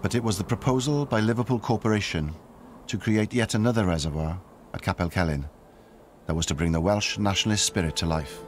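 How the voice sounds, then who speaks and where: Deep-voiced man narrating a documentary. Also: a little fast. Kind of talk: scripted narration. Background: music score.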